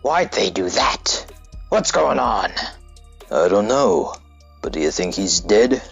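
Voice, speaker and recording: A man playing a gruff, down-and-out street character in a comic dub, with a raspy, colloquial delivery. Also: on the low side, very animated, slow pace, full character voice. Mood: puzzled. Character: rough streetwise down-and-out man covering for a friend. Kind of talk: comic book dub acting. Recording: dull lo-fi mic, music underneath.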